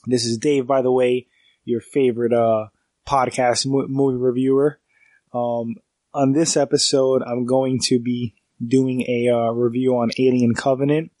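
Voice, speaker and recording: A man's voice: mid-range voice, little pitch movement, unhurried, darkish tone, dry recording. A man with a congested, stuffy voice from a cold, reviewing a movie on his podcast in a rambling casual way with many fillers and restarts.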